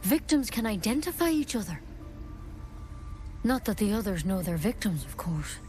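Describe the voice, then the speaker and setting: A woman's voice: mid-range voice, big pitch swings, moderate pace, a little mellow, close to an everyday voice. An actress playing an earnest, protective druid healer in a video game, plain-spoken and grave, with music behind her. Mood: probing. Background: background music.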